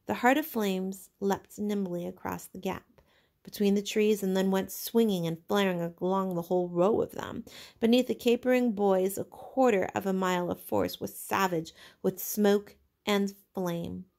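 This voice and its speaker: A woman teacher narrating a novel aloud in an expressive, dramatic storytelling manner. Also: mid-range voice, moderate pace, vocal fry.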